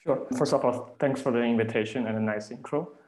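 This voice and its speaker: A man's voice: mid-range voice, steady tone, brisk, a little mellow. A man with a Chinese accent, giving explanatory answers as a podcast guest. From China. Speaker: podcast guest, venture capital investor. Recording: roomy, echoing room.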